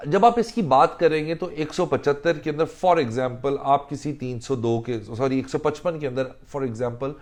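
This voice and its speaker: A man explaining legal rules in a deliberate way, mixing in English legal phrases. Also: mid-range voice. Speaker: legal instructor.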